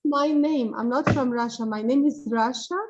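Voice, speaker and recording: Woman from Egypt, high voice, breathy, soft and hesitant, muffled call audio. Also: normal speed. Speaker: student. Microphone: video call.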